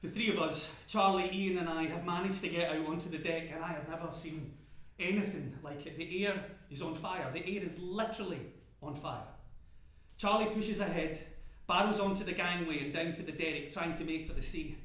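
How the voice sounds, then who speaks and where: An actor playing a small, scared oil rig worker in a dramatic monologue, agitated, stammering and sweary. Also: male voice, a little high, brisk, fairly bright tone, natural voice. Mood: awed, horrified. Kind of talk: dramatic first-person stage monologue. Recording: very echoey room.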